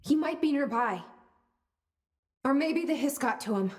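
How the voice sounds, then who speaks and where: An actress playing a steady, guarded young woman agent with terse, level speech and muttered asides. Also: high-pitched, a little slow. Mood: firm. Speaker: lead character searching for her brother. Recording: echoey game cutscene with faint hiss.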